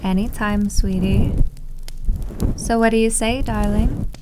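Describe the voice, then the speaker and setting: A seductive, big-sisterly maid voiced by a woman coos in a medium-pitched voice. She is syrupy, teasing and quietly possessive, in a roleplay ASMR drama with clear background noise. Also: slow pace, lightly acted. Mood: doting, teasing.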